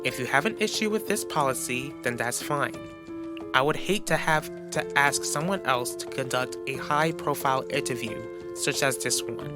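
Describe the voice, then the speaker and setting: A man voicing a stern, formal professional woman who speaks with authority in an animated soap opera. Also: mid-range voice, normal speed, loud music behind the voice, natural voice. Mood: firm. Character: stern, measured professional woman enforcing policy.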